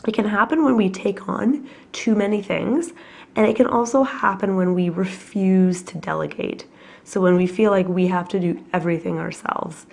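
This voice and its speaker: A woman with a cold giving a chatty, reflective weekly tarot reading at home, her voice husky and her talk full of fillers. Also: medium pitch, moderate pace, darkish tone. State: has a cold, coughing. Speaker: tarot reader.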